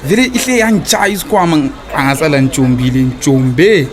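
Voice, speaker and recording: An actor playing a smooth-talking, evasive cheating husband in a radio drama, with a Zimbabwean accent and a stammer when cornered. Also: male voice, a little high, expressive, brisk, lightly acted. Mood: flustered, pleading. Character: smooth-talking, cheating husband wooing his young lover, evasive debtor.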